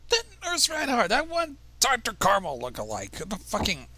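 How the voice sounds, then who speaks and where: Male fanfic reader and stream host, highish lively voice, expressive narration with exasperated casual asides. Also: normal speed. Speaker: fan fiction reader, livestream host. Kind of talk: dramatic story reading with casual commentary.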